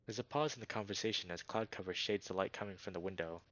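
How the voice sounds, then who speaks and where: A man's voice: low voice, fast talker, fairly bright tone, muffled recording. A man reading scripted story narration from a visual novel. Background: background music.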